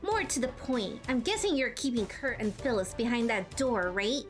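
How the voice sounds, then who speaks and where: A plucky teenage heroine, read by a woman with a high voice. She sounds hot-headed, sarcastic and defiant in game dialogue, over background music. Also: expressive, moderate pace, close to an everyday voice. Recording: music behind the voice.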